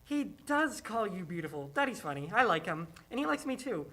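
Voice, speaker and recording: Trusting young girl voiced by a woman, sharp bright tone, simple comforting manner, for a play reading. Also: mid-range voice, big pitch swings, a little fast. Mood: proud, innocent. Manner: simple, childlike reassurance. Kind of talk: acted script reading.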